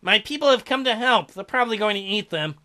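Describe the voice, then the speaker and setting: Man reading a smitten merman from a romance game, high, mock-tender. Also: expressive, fast talker, bright, forceful tone. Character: smitten merman lover, gentle and possessive.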